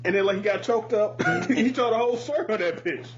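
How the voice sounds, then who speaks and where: A man's voice: high-pitched, fairly quick. A man hosting a podcast, chatting casually and bluntly about music with plenty of swearing. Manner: informal, profane, opinionated. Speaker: podcast host. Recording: muffled podcast audio with light hum.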